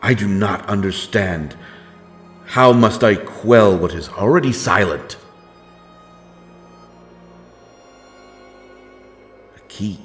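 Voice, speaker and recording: A grim, righteous holy wanderer from a dark fantasy tale, acted by a man, speaks in a deep voice. The delivery is slow, solemn and archaic, with deliberate dramatic weight. Faint music plays behind the words. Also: expressive. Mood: somber, troubled. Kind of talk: dark fantasy audio roleplay.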